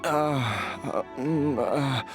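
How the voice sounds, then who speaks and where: A bewildered older man stammers slowly and trails off, hunting for his own name. His voice sits low and unsure. Game music hums under him. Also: animated. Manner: halting, hesitant, stammering. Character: confused older man who has lost his memory. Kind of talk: video game voice acting. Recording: game dialogue over music.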